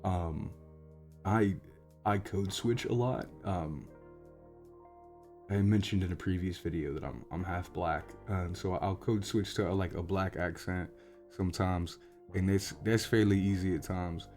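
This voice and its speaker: An American man chatting casually and unscripted to his audience, halting and rambling, with self-deprecating, sweary asides. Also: deep voice, darkish tone, soft background music. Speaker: voice actor, channel creator. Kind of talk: casual unscripted outro chat.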